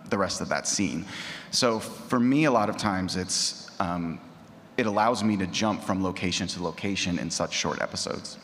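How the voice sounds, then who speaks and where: A man's voice: deep voice, fairly bright tone. A man speaking off the cuff on a panel as an audio drama creator. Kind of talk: unscripted panel discussion. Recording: echoey hall.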